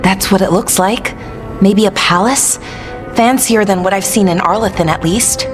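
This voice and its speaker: Actress as curious young elf in a game, medium pitch, casual, music behind. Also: female voice, normal speed, natural voice. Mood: musing.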